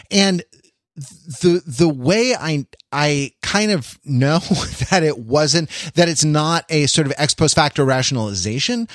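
An American man co-hosting a podcast in casual analytical discussion, wordy and intellectual, often restarting his sentences haltingly. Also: a little high, dry recording, studio quality. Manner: hesitant, halting delivery with repeated false starts. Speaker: podcast co-host.

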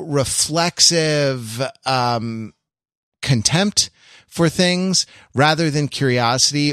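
A man hosting a podcast in casual analytical chat, wordy and wry, halting and circling back as he thinks. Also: mid-range voice, fairly bright tone, clear, resonant tone, dry recording, studio quality. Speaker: podcast host.

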